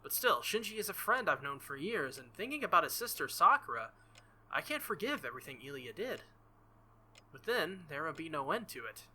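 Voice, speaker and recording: A man's voice: on the high side, sing-song, a little fast, sharp tone. A man reading a visual novel aloud as a let's-play host, theatrical and jokey, with casual asides. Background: faint game music.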